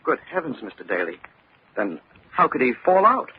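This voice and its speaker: A man's voice: medium pitch, very animated, normal speed, lightly acted. Man acting a polite office employee, noisy old radio. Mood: shocked. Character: deferential, helpful male office building employee. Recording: very dull, noisy vintage radio recording.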